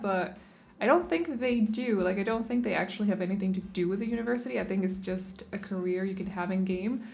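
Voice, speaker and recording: Young danish woman chatting over her build video, rambly, keeps fixing her words. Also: medium pitch. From Denmark. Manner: rambling, self-correcting, unsure of word choices. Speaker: game building channel host. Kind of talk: casual voice-over commentary on a speed build.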